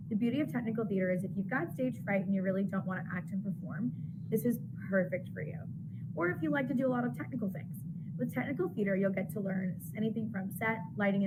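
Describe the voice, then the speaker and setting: A woman's voice: mid-range voice, heavy background noise. A woman who teaches middle school theater gives an enthusiastic, bubbly introduction to her classes. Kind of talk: upbeat recorded class introduction.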